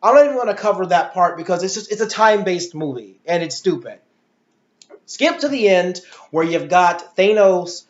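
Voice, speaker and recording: High-pitched man ranting in an echoey room. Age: adult. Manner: blunt, exasperated complaining, slangy. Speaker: video reviewer and host. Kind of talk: casual opinionated movie review chat. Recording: echoey room, dull lo-fi audio.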